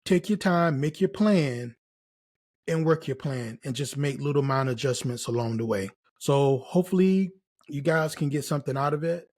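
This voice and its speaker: Higher-pitched American man giving encouraging advice. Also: moderate pace, a little mellow. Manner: encouraging, emphatic, repeats key phrases. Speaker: host, nursing career mentor. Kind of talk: casual motivational advice to camera.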